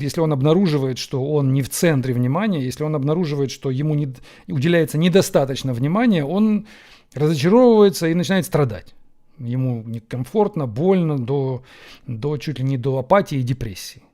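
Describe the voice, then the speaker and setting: Ukrainian-born guy musing thru a q&a, lots of ums and pauses, warm soft tone. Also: fairly high pitch, expressive. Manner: reflective, with frequent pauses and fillers. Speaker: host answering viewer questions. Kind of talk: Q&A monologue. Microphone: camera setup.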